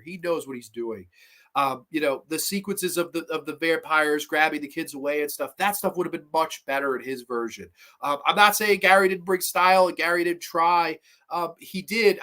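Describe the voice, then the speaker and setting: Stuffy young guy ranting about horror movies on his stream, bright voice, kinda high, swears a bunch. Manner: rambling, opinionated, swears casually, reads chat comments aloud. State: congested with a slight cough. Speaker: host of a horror movie review livestream. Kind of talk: casual livestream review chat.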